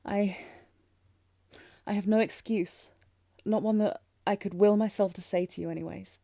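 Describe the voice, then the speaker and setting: A woman's voice: medium pitch, fairly even intonation, normal speed, warm tone, no room sound, studio quality. A woman playing a tender, anxious young princess in a romantic audio drama, speaking formally and hesitantly with sighs. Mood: contrite, flustered. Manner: formal archaic diction, hesitant, breathy sighs. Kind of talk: scripted romantic fantasy audio roleplay, intimate.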